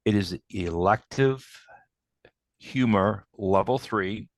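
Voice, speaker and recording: Man, lower voice, plain upbeat speaker introduction, dry room. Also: takes it slowly, no echo. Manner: plain, enthusiastic, repeats phrases. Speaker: club member introducing a speaker. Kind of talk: informal introduction remarks.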